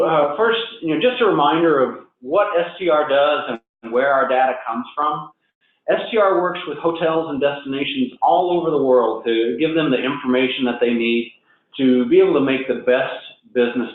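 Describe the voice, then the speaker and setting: A man presenting a business webinar, upbeat and conversational, a little hoarse and nasal from a cold. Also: mid-range voice. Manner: upbeat, conversational, frequent fillers and restarts. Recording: tinny phone-line audio with heavy echo.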